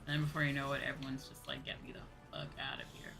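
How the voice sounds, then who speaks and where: Low-pitched woman streamer chatting over music. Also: very animated, fast talker, creaky. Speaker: streamer, VTuber host. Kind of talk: casual chatty game livestream commentary.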